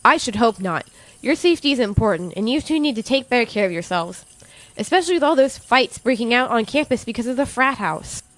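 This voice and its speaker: A woman's voice: on the high side, animated, a little fast, a bit bright, poor audio. A woman playing a caring but stern college professor in an audio drama, proper and motherly. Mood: gently scolding.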